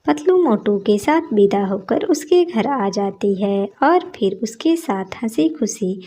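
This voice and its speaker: A woman's voice: mid-range voice, expressive, slow pace, dark tone, slightly rough. A woman narrating a scripted cartoon story as a storyteller.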